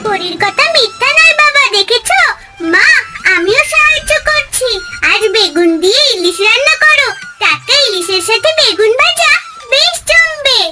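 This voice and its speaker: A woman's voice: extremely high pitch, lively intonation, moderate pace, pushed and bright, faint music behind, full character voice. A woman voicing a sweet, wide-eyed little village girl in a cartoon, childlike and eager. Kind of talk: cartoon fairy-tale voice acting.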